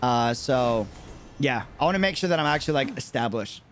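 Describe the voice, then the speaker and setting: A man's voice: mid-range voice, expressive, fairly quick, fairly bright tone, slight background noise. A man streaming in a casual chat with viewers, talking animatedly and repeating phrases for emphasis. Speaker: streamer and content creator.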